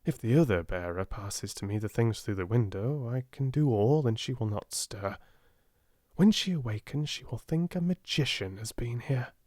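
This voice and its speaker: A gentle Indian manservant from a storybook, voiced by a male reader. He is humble and devoted, with a measured, deferential way of speaking and an air of quiet mystery. The sound is dry and close. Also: medium pitch, animated, no room sound, close to an everyday voice. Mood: quietly confident.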